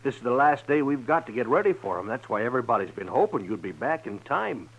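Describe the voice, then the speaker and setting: An American actor playing a jittery, earnest small-town storekeeper in a 1950s radio western, fussy, folksy and excitable. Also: male voice, mid-range voice, fast talker, slightly rough, full character voice. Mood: anxious, earnest. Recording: muffled 1950s radio recording.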